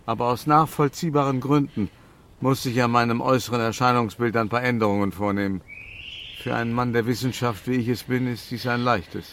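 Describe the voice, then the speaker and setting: An actor playing a polite, formal older gentleman who is a fanatical murderer, smoothly menacing, in a radio drama. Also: medium pitch, fairly even intonation, brisk, slight background noise, close to an everyday voice. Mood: courteous, composed. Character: courteous, fanatical older gentleman murderer, self-styled scientist.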